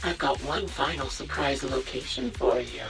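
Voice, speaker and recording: A woman's voice: a bass voice, normal speed, recorded from a distance, over music. A woman voicing a sadistic sniper villain in a video game, mock-polite, teasing and theatrical. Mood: playful taunting.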